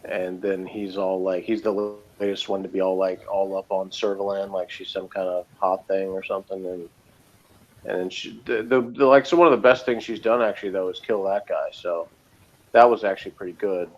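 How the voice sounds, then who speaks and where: A man's voice: deep voice, fairly even intonation, normal speed, lo-fi sound. A man co-hosting a casual, opinionated episode review in a rambling, loosely phrased way, with faint noise behind him. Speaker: review show co-host.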